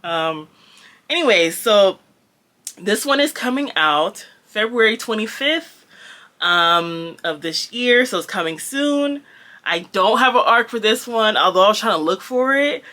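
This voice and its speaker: A woman's voice: mid-range voice, animated, a little slow, bright, forceful tone. A Jamaican-born woman with a Valley girl accent, talking casually about books as a reviewer, rambling with lots of fillers and laughs. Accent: American Valley girl. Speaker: book reviewer. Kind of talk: casual chat.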